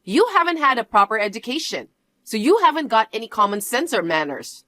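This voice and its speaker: A woman's voice: on the high side, big pitch swings, a little fast, sharp tone, dry recording. A woman voicing a haughty, domineering mother-in-law who taunts her son's wife. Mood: venomous. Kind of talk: one-voice drama reading.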